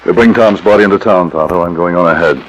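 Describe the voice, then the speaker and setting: An actor playing a resolute, commanding masked western hero, firm and earnest, in a 1940s radio drama. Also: male voice, sits low, fast. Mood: grave, resolute. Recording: noisy 1945 radio transcription disc.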